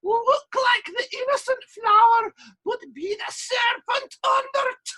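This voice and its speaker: Exasperated stage manager and playwright: very high, bright, declamatory, theatrical audio drama. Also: male voice, fairly even intonation, takes it slowly, exaggerated voice. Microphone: video call recording.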